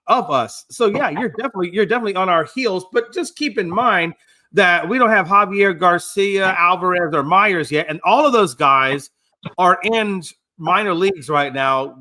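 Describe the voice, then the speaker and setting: A man in his fifties with a high, bright, forceful voice, talking sports on a casual show. He teases his co-hosts and rambles, circling back with repeated words. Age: 50s. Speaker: co-host.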